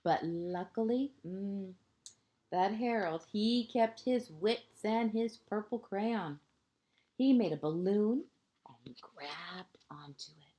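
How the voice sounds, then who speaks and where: A woman reading a picture book aloud to young children like a kindergarten teacher, gently and expressively, pausing between short phrases and often letting endings fall to a hush. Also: medium pitch. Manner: deliberate pauses, short phrases, asides to young listeners.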